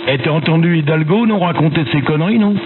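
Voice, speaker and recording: A man's voice: fairly high pitch, quick pace. A man chatting casually as an amateur radio operator, blunt, opinionated and slangy, heard over a radio transmission. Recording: noisy, phone-like ham radio signal with hum. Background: radio static and hum.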